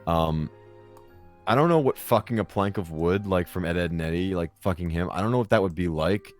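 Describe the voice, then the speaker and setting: A young man with a deep voice, telling rambling, crude, swear-filled stories in a casual chat. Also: a little fast, soft background music. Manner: crude, rambling storyteller who swears a lot.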